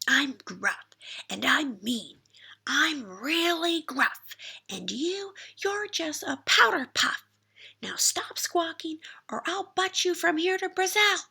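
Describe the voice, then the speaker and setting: A nasty, bragging goat bully who taunts in a harsh, breathy, pushed voice, climbing higher as he sneers, with a woman reading him to children. The voice has a gravelly, bright edge. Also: slow pace, full character voice. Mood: jeering. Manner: jeering, whispery threats. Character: mean, boastful small male billy goat bully.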